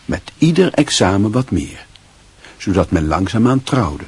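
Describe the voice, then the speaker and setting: An actor playing a dry, wry literary narrator in a radio play, with a husky voice. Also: male voice, on the low side, big pitch swings, measured pace, a little mellow, heavily acted. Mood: wry, reflective.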